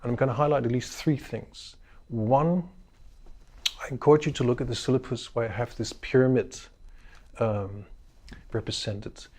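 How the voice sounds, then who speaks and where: A middle-aged man from Denmark with a Danish accent, a professor giving a measured, unhurried course introduction lecture with frequent ums and uhs. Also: medium pitch, a little mellow.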